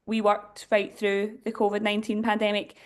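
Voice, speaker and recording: A woman's voice: medium pitch, completely flat delivery, normal speed. A woman in her thirties from Scotland with a Glasgow accent, presenting at a parish workshop in a conversational, explaining way with frequent fillers. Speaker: diocesan youth director.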